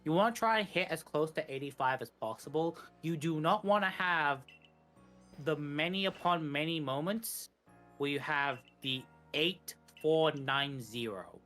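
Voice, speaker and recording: A man talks casually as co-commentator on a gaming run. He explains in a halting way, repeating and correcting himself, with music in the background. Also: a little high, sharp tone. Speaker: speedrun co-commentator. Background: video game music.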